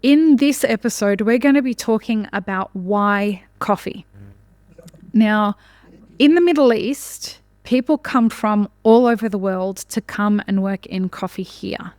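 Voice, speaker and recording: A woman's voice: mid-range voice, unhurried, dry recording. Podcast host woman with a dry close sound. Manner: warm encouraging, short backchannels. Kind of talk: interview hosting.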